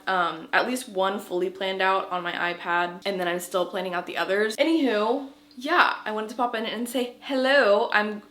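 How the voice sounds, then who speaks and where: A woman's voice: mid-range voice, a bit bright. A young adult woman chatting casually to her camera in her art studio, bubbly, with lots of filler words. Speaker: artist vlogger. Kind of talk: casual vlog chat. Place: home art studio, indoors. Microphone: handheld camera.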